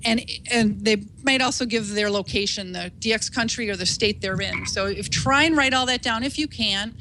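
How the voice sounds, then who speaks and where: A woman's voice: mid-range voice, moderate pace, sharp tone, slight background noise, low-quality recording. A woman giving an informal instructional talk in an encouraging, reassuring, conversational way, like a teacher walking beginners through the steps. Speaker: instructor, ham radio operator.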